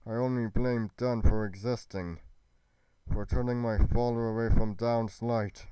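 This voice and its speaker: A man playing an earnest young fantasy adventurer in an amateur roleplay, with a solemn, deliberate delivery. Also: mid-range voice, fairly even intonation, dark tone, clear, resonant tone, dry recording, close to an everyday voice, low-quality recording. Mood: anguished.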